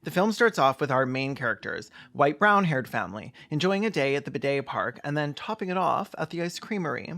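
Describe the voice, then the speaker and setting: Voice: medium pitch, big pitch swings, fairly quick, hardly any pauses, a bit bright. A host giving comedic reaction commentary on a film in a campy, sarcastic way.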